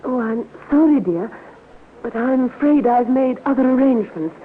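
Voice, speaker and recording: An actress playing a genteel, smitten widow in a 1950s radio drama, gracious, eager and demure. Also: female voice, high-pitched, animated, normal speed. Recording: tinny, noisy 1950s radio recording.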